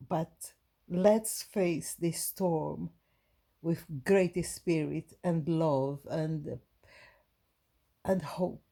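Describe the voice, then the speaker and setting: A woman from Brazil with a Brazilian Portuguese accent, giving a gentle, halting inspirational talk to her viewers with many restarts. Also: low voice, fairly even intonation, gravelly. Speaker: spiritual vlogger.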